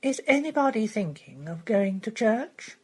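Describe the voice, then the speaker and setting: A woman's voice: a little high, very animated, measured pace, a little mellow, husky, voice pushed up, exaggerated voice. Woman reading as a poised aristocratic duchess. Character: aristocratic older duchess, composed and gracious.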